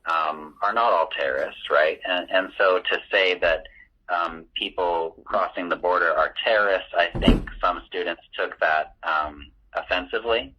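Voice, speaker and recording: A man, a teacher, answering calmly and carefully with many ums. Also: low-pitched, a little slow, slight background noise. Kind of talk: measured reply in a tense call. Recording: muffled lo-fi recording.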